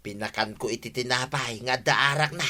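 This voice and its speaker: A man's voice: medium pitch, normal speed, bright, forceful tone. A man with an Ilocano accent playing a sly, whiny village husband in a radio comedy drama. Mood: sly, amused.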